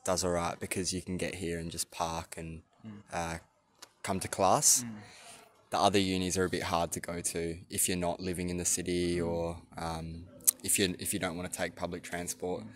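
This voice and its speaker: A man's voice: deep voice, flat delivery, moderate pace, slight background noise. A young man with an Australian accent, a university student thoughtfully answering interview questions outdoors on campus. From Adelaide, Australia. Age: young adult. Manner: thoughtful, hesitant with ums.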